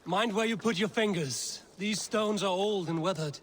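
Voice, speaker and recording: An actor playing a guarded, wry Viking warrior in a video game, with a dry, restrained delivery. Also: male voice, high-pitched, normal speed, background noise, lightly acted. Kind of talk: video game dramatic acting.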